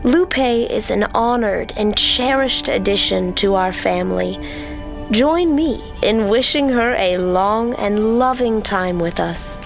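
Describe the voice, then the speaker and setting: Woman playing a slow, gracious ceremonial speaker. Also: mid-range voice, creaky, music in the background. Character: formal, gracious society speaker welcoming a new member. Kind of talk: ceremonial speech in audio drama.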